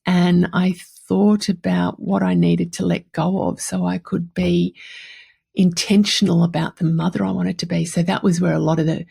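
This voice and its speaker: Podcast host: Australian woman, fifties, mellow and raspy, candid conversational monologue. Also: on the low side. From country New South Wales, Australia. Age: 50s. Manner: candid, chatty, emphatic repetition. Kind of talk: personal reflective monologue, casual.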